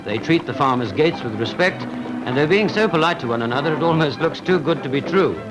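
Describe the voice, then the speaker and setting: A man's voice: a little high, expressive, brisk, low-quality recording. A man with a Received Pronunciation British accent reads scripted 1940s newsreel narration in a formal, wryly humorous manner. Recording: vintage 1940s film soundtrack with music. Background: background music.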